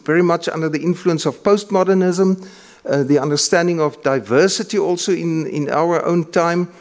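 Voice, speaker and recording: Halting Afrikaans-speaking male lecturer. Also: on the high side, darkish tone. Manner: measured, halting with frequent fillers and restarts. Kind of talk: academic lecture.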